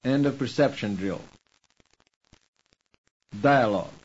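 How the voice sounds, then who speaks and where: Husky male course announcer. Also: medium pitch. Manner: formal, measured. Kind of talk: scripted announcement. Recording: dull lo-fi course tape.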